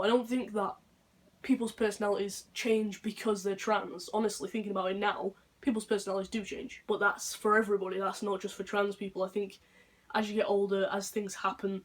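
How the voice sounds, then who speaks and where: A young man with a deep voice, talking unscripted in a rambling, earnest vlog monologue. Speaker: vlogger.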